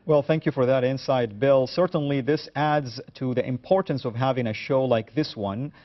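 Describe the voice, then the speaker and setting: A male talk show host in a mid-pitched voice, often pausing on filler sounds as he works through his points. The recording sounds somewhat dull and lo-fi. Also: steady tone. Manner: hesitant, many "uh" fillers. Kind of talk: studio talk show discussion.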